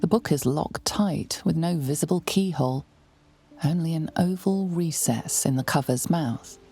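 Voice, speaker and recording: A woman playing an ominous storyteller, reading scripted narration for a dark fantasy game. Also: low voice, measured pace, soft background music. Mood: ominous, hushed.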